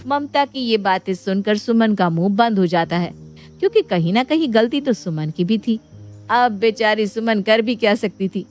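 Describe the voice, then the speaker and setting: A woman's voice: medium pitch, animated, moderate pace, a little mellow. A woman storyteller reading scripted narration for a Hindi moral bedtime story. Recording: music bed behind the voice.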